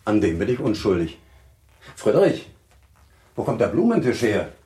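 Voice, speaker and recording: Composed, low-voiced baron husband. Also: male voice, very animated, dark tone. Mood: genial, formal. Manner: measured, formal, reassuring. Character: composed baron, older husband, courteous, gently patronizing official. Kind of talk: German radio play acting.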